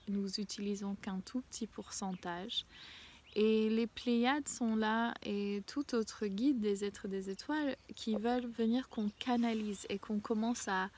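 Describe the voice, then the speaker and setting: A woman with a head cold talks her way through an oracle card reading while sitting outdoors. Her voice sits at a middle pitch, and she pauses often on fillers before sliding into a hushed near-whisper. A faint hiss stays behind her. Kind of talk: casual spiritual card reading. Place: outdoors in a small mossy spot with violets.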